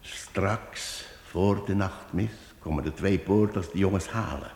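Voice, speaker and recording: A man voices a shrewd wandering minstrel in a radio play, laying out a plan in a measured, steady way. His voice sits very low. It sounds roomy, with a long reverb. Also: vocal fry. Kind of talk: radio drama acting.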